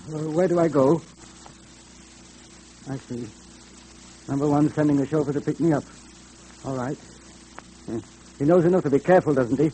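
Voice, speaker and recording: An actor playing a nervous, cowardly crook who stammers anxiously in an old-time radio drama. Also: male voice, fairly high pitch, mellow, natural voice. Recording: noisy old radio recording, hum.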